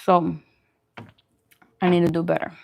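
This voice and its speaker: A woman's voice: fairly low pitch, completely flat delivery, unhurried, vocal fry, darkish tone. A woman chatting casually on a livestream as host while she eats, informal and self-deprecating in her manner. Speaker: vlogger hosting a live mukbang stream.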